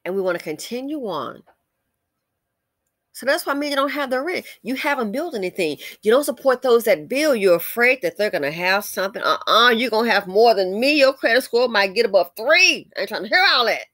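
A woman's voice: on the high side, lively intonation, a little fast, fairly bright tone, dry recording, studio quality. An American woman giving blunt, scolding, opinionated commentary with emphatic conversational asides and rhetorical questions as she reads out comments. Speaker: commentary channel host.

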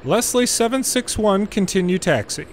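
A man doing gameplay commentary, mixing measured air traffic control phraseology with muttered casual asides. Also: sits high, animated. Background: game airport ambience.

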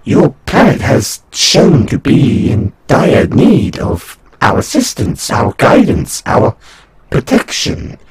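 Man as a very slow, husky alien angel. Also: mid-range voice, wildly swooping pitch, heavily acted. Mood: grave, persuasive. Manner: halting, solemn, drawn-out formal delivery. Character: serene, condescending alien angel envoy of an empire. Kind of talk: scripted audio roleplay monologue.

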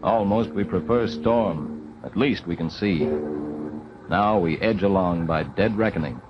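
A man's voice: deep voice, unhurried, very noisy. A man reading scripted wartime documentary narration in a dramatic, solemn 1940s newsreel manner. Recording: dull 1940s film soundtrack.

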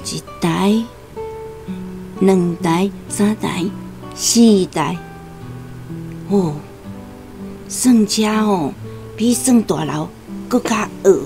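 A stubborn, simple old Taiwanese granny in a radio drama. She mutters along very slowly in a soft-edged, mellow tone, with faint music behind her. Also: mid-range voice, vocal fry. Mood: absorbed, muttering. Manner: slow, muttering to herself. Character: simple, stubborn elderly grandmother living in the city.

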